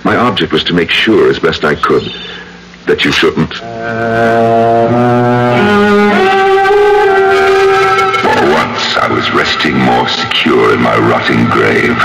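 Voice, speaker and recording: An actor playing a brooding, enigmatic gothic portraitist and ghostly storyteller, theatrical and deliberate, in old-time radio drama. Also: male voice, a bass voice, vocal fry, poor audio. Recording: old radio broadcast with music bed.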